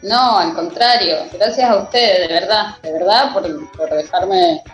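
A woman's voice: lowish voice, measured pace, faint music behind. Woman w/ a cuyo mendoza accent, laughy slangy radio guest, echoey room. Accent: Cuyo (Mendoza) Argentine Spanish. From Mendoza, Argentina. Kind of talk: casual radio chat.

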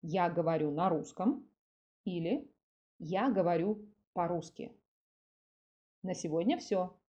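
Woman, lowish voice, muted dark tone, language teacher, didactic phrasing, lo-fi recording. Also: takes it slowly. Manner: didactic, clearly separated phrases with repeated examples. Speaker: podcast host, Russian language teacher. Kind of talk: scripted language lesson for learners.

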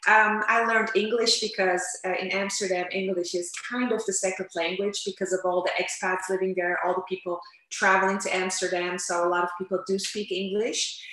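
A woman raised in the Netherlands, answering interview questions in an animated, storytelling way with frequent fillers. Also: medium pitch, fairly quick, hardly any pauses. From Turkey, raised in the Netherlands. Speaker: interpreter and language coach guest. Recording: echoey room.

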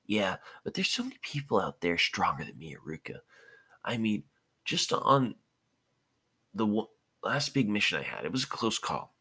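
A man's voice: fairly low pitch, huge pitch swings, normal speed, bright, forceful tone, breathy edge, pitched above the performer's own voice, full character voice. A man voicing an eager, determined boy ninja in an amateur story reading. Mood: self-doubting.

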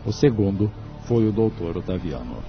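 An actor narrating a Brazilian radio novel as a measured, solemn storyteller. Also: male voice, sits low, big pitch swings. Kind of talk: scripted radio drama narration. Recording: dull radio audio, music behind.

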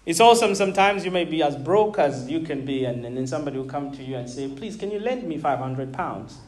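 A man's voice: on the high side, animated, fairly quick, a little mellow. A man in his thirties from Zambia, preaching a sermon and calling out to his congregation, repeating words and laughing between points. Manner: emphatic, calls out to the congregation. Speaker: pastor.

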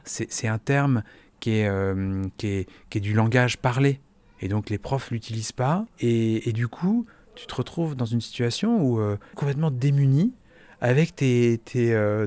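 A man's voice: mid-range voice, a little mellow. A man telling a personal recollection in a casual, hesitant way, often restarting and repeating words. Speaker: interviewee.

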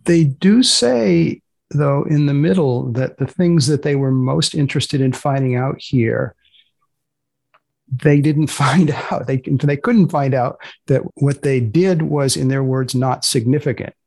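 A man hosting a podcast with a dry, deadpan, questioning manner and a husky, creaky voice. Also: medium pitch, measured pace. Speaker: podcast host. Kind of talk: casual interview with scripted sign-off.

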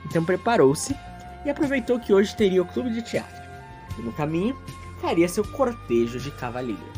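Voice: medium pitch, very animated, moderate pace, dark tone, soft background music. A narrator telling a scripted story in a theatrical, self-mocking way with playful asides.

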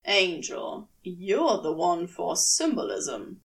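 A woman voicing a cool, weary, reproachful man with a dry, measured manner in a fan fiction audiobook. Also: fairly low pitch, sing-song, creaky, distant mic, pitched below the performer's own voice, heavily acted. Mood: solemn, matter-of-fact.

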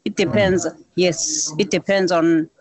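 Woman, musician guest; slow, simple, repetitive answers, close and dry. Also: lowish voice, steady tone, darkish tone, dry recording, poor audio. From South Africa. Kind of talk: interview answers.

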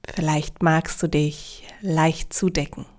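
A woman guides a deep relaxation meditation. Her voice sits a little low and she speaks very slowly. She sounds calm and soothing, leaving long, measured pauses between phrases. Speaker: yoga nidra guide.

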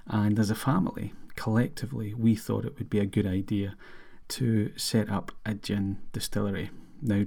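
Middle-aged Scottish male podcast host, mellow low voice, earnest and measured delivery. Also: vocal fry, slightly rough. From Scotland. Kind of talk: introductory podcast monologue.

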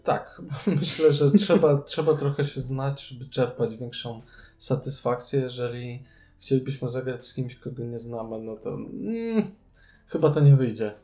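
A man's voice: medium pitch, lively intonation, unhurried, mellow, faint music behind. A man giving casual opinions as co-host of a board game show. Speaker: podcast co-host.

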